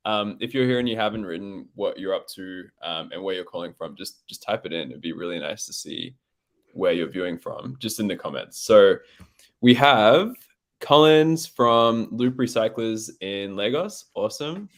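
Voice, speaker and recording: An Australian man hosting an online founder session, relaxed and upbeat, with a lot of "um"s. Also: on the low side, moderate pace. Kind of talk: casual live-stream chat.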